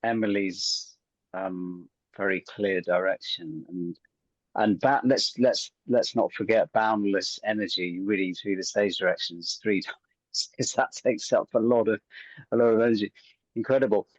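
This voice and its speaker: A man answering haltingly and at length as a guest, over muffled online call audio. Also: on the low side, no room sound. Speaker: actor, interview guest. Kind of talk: casual interview answer.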